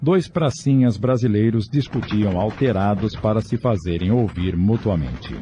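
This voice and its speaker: A man's voice: on the low side, normal speed, mellow, muffled recording, slight background noise. A man with a Brazilian accent giving a scripted, dramatic story narration. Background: music.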